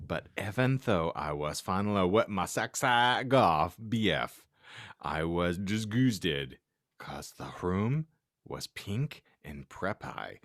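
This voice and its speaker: A man hosting a podcast, giving a theatrical, deliberately stumbling comic reading of fan fiction. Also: low-pitched, a bit bright, dry recording, clean studio sound. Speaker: podcast host.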